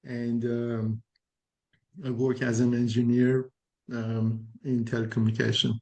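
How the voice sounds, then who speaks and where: Middle-aged guest, Iran-born, unhurried and flat, mellow tone. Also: male voice, medium pitch, rough recording. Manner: slow, pausing with fillers. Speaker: panel guest. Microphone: video call.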